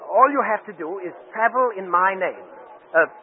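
A man's voice: high-pitched, expressive, normal speed, slight background noise. An actor in a 1940s style radio drama playing a cold, scheming, vengeful man with a controlled delivery. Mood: casual, scheming. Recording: tinny old radio broadcast recording.

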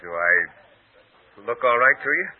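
A nervous impostor in vintage radio drama. His voice is husky and hesitant as he feels his way along. The recording is thin and tinny. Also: mid-range voice, sing-song. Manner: hesitant, halting. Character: uneasy male impostor posing as returning nephew. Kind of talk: 1940s radio acting.

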